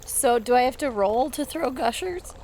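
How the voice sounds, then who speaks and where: A woman's voice: high voice, expressive, moderate pace, a little mellow. A woman chatting casually and playfully as a player in a tabletop roleplaying game. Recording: faint background noise.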